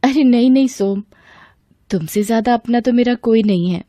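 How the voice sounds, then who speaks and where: A woman's voice: a little high, normal speed, mellow, no room sound, clean studio sound, close to an everyday voice. A woman playing a coy, scheming young woman who acts sweet and helpless in a story, hesitant and pleading.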